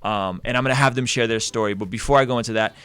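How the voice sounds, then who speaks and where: A man's voice: mid-range voice, rapid-fire, fairly bright tone, clean tone. A man hosting a live stream, talking with energy, repeating words and restarting sentences as he goes. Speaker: host.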